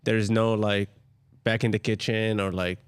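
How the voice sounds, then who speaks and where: A young man from Jordan, a restaurant co-owner, talking as a guest in a soft-spoken way and searching for words. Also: fairly low pitch, level tone, unhurried, clean tone, dry recording. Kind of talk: casual interview chat.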